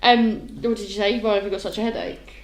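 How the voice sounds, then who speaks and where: A woman co-hosting a podcast in casual chat, loud and laughing often as she talks over her friend. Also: mid-range voice, flat delivery, fast, roomy sound, a little hiss or hum, rough recording. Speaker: podcast co-host.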